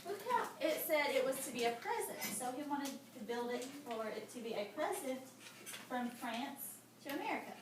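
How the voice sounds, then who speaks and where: A woman's voice: high voice, expressive, moderate pace. A woman teacher reading aloud to children in a lesson, breaking off to explain and ask questions. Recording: very echoey noisy room, far from mic.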